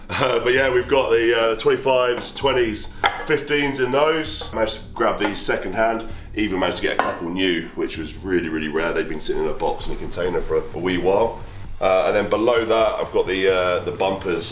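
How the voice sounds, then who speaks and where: A man with a very deep, low voice chats in a loose, matter-of-fact way as he shows off his gear. He is recorded close on a handheld camera. The small wooden room rings with echo, and faint music plays under him. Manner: chatty, matter-of-fact, walking around pointing at kit. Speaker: strongman showing his home gym. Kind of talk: casual gym tour. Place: small wooden home gym barn.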